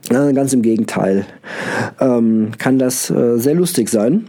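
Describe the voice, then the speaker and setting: Mellow-voiced man rambling with a cold. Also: mid-range voice, unhurried. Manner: hesitant, many filler sounds, loose rambling. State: has a cold, occasional coughing. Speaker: podcast host. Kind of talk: casual solo podcast monologue.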